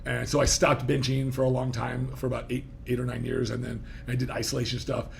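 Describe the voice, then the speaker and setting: A man in his fifties with a gravelly edge hosts a relaxed podcast chat. He wanders through his thoughts and breaks off mid-sentence. A faint hiss sits under the recording. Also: mid-range voice, a little fast. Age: 50s. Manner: rambling, self-interrupting, laughing easily. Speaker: podcast host. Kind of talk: casual interview talk.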